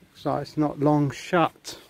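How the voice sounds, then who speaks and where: Slow-talking man vlogging outdoors. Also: on the high side, little pitch movement, vocal fry. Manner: rambling, chatty, addresses viewers. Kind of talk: casual walking vlog. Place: outdoors on a beach and hotel paths. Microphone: handheld camera. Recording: faint outdoor noise.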